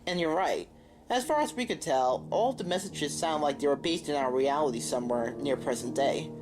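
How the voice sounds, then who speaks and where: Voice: mid-range voice, animated, brisk, music in the background. A narrator reading out a scripted, eerie story about a video game for a review video.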